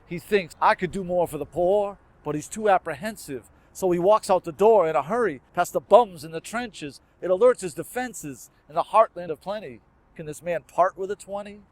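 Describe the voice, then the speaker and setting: A man's voice: sits high, normal speed, no echo. A man performing rhymed spoken word poetry with a rhythmic, emphatic and earnest delivery.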